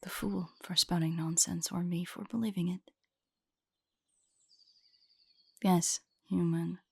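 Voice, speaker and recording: Woman doing an aloof monster spider lady for audio roleplay, lowish husky voice, formal and guarded but secretly fond, close dry sound. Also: steady tone, warm tone, no room sound. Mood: wary, grudging. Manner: formal, measured, haughty. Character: aloof, guarded female drider, ancient, reluctantly fond. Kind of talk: intimate audio roleplay acting.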